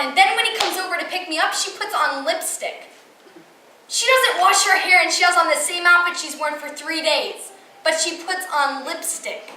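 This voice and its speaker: A woman's voice: on the high side, bright, forceful tone. A young teenage girl performing a stage monologue as a frustrated, vulnerable teen confiding emphatically in a friend. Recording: roomy, distant, noisy live recording.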